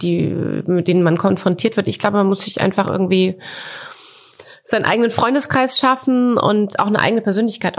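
Woman in her 40s, podcast guest, pauses a lot and goes um, slow, thoughtful. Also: medium pitch. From Germany, Coburg. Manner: thoughtful, hesitant, many fillers. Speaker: podcast guest, art collector. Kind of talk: relaxed conversational interview.

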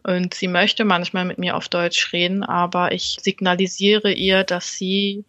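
A woman's voice: fairly low pitch, level tone, measured pace, fairly bright tone, no room sound, muffled recording. A woman who is a stand-up comedian, guest on a casual podcast, explaining her views thoughtfully and at length and laughing easily. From Germany.

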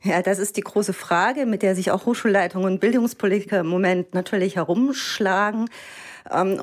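A woman journalist answering interview questions about universities in an explanatory way, often pausing with fillers. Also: mid-range voice. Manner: explanatory, uses hesitation fillers. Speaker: education journalist.

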